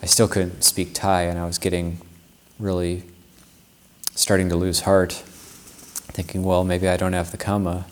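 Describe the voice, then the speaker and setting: A male Buddhist monk speaks in a very low, level voice that barely rises or falls. He gives a Dharma talk in an unhurried, reflective way, circling back and restarting his sentences as he recalls his own practice. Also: completely flat delivery. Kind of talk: Dharma talk with personal anecdote.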